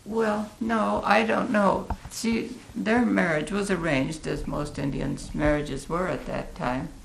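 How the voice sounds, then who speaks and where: An elderly American woman looks back on her life in an interview. Her voice is very gravelly and full of creak. She speaks slowly and deliberately, pausing as she thinks. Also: lowish voice, fairly even intonation, a little hiss or hum, low-quality recording. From Oregon, United States. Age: 80s. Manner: halting, deliberate, thoughtful pauses. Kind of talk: reflective oral history interview.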